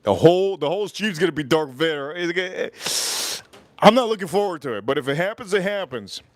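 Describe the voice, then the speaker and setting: A man hosting a casual livestream from Florida, animated as he shouts out viewers, and a little sick. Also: on the high side, fairly quick, no echo. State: getting sick. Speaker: livestream host.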